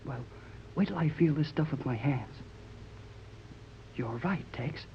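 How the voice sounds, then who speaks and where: An actor playing an eager, easily scared young American sidekick, boyish and wide-eyed, in a 1930s radio adventure serial. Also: male voice, mid-range voice, expressive, unhurried. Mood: puzzled, amazed. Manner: wide-eyed, folksy exclamations. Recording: muffled, lightly noisy 1930s radio recording.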